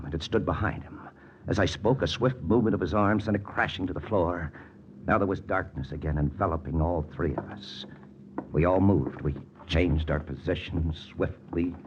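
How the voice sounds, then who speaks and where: A man's voice: a bass voice, background noise. A man playing a tense American intelligence lieutenant, narrating his mission tersely in an old radio drama. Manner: terse, hard-boiled, deliberate. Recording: muffled old radio recording with music.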